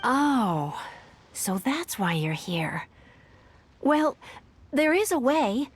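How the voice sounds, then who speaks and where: A woman playing a gentle young exile in a video game, speaking in an earnest, measured storytelling way. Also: sits high, sing-song, breathy edge, full character voice. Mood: friendly, hesitant. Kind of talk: video game dialogue acting. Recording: game music behind the voice. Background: background music.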